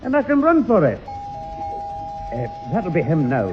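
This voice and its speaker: A man's voice: on the high side, huge pitch swings, moderate pace. A man playing a scheming older grandfather with a Scottish accent, flustered and stammering, in a 1960s radio sitcom. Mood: gleeful scheming. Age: 60s or older. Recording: echoey dull old radio recording, faint music.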